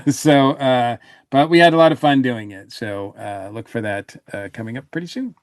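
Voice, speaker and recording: A man, a podcast regular, chatting casually in a halting way with pauses mid-phrase. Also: medium pitch, dry recording, studio quality. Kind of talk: casual chat, promoting projects.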